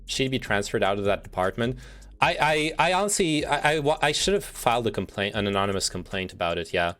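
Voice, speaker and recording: A man's voice: mid-range voice, sing-song, brisk, fairly bright tone, faint music behind. A man livestreaming in a casual, sweary way, rambling and often restarting his sentences as he reads out statements and reacts to them. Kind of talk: casual chat, reading quiz statements and reacting.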